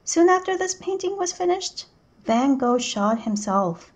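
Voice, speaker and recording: High-voiced woman reading a children's book. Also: very animated, unhurried. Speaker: teacher reading a picture book aloud. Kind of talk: children's read-aloud narration.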